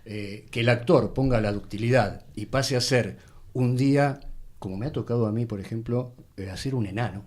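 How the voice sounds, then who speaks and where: Thick-voiced Argentine man, measured and courteous. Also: mid-range voice, darkish tone. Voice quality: thick, full voice. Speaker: actor, interview guest. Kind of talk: reminiscing conversational interview.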